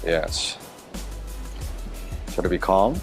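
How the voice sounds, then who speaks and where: A man's voice: low-pitched, animated, extremely slow, faint music behind. A man teaching a martial arts lesson, telling a personal story and coaching a drill in an earnest, conversational way with frequent restarts. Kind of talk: teaching a self-defense lesson.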